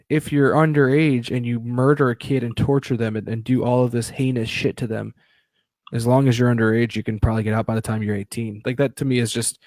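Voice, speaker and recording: Excitable, swearing young man, dry sound. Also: mid-range voice, vocal fry, dry recording. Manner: excitable, swears freely, lots of restarts. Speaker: podcast co-host. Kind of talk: casual live-stream chat.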